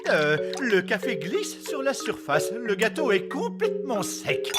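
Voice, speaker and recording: A woman's voice: on the high side, wildly swooping pitch, unhurried. A woman playing a tactful, exasperated fairy king with a dry, hesitant manner in a French cartoon dub. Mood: tactful, uneasy. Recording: loud music under the voice.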